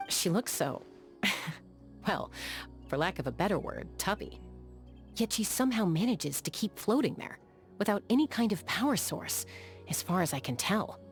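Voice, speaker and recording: A woman playing a calm, battle-hardened young sky gunslinger in a video game, measured, thoughtful and matter-of-fact, with a dry edge. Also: medium pitch. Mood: curious. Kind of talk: video game character voice lines.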